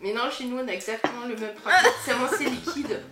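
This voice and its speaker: A woman's voice: on the high side, lively intonation, quick pace, roomy sound. A woman from Switzerland chatting casually during a food tasting, explaining things in a matter-of-fact way.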